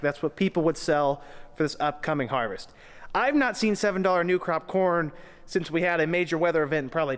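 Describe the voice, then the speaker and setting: A man's voice: sits high, a bit bright, smooth, long reverb tail. A young man, a farmer, talking to camera in a dry, self-deprecating, chatty way. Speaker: farmer and vlogger. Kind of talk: casual vlog narration. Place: on a farm, partly outdoors and in a tractor.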